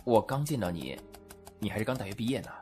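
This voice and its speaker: Devoted, placating businessman played by a man; radio-play romance, soft-mannered with expressive pitch. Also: quick pace, soft background music. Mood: tentative. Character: patient, placating businessman devoted to his lover. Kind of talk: audio drama acting in Mandarin.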